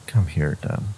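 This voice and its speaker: A man voicing a smug, possessive, flirtatious fantasy villain in an intimate romance audio roleplay, teasing with hesitant pauses. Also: dark tone, slight background noise, low-quality recording. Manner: teasing, hesitant pauses, frequent low chuckles.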